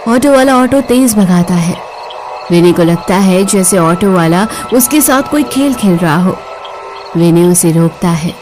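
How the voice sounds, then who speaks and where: A woman reading scripted narration for an animated horror story as its storyteller. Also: on the low side, a little slow. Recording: clear music bed behind the voice.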